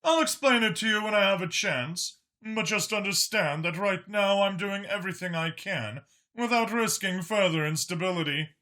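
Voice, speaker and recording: A man voicing a powerful, patient dragon senator who explains things in a measured, self-assured way. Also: high voice, sharp tone, voice pushed up.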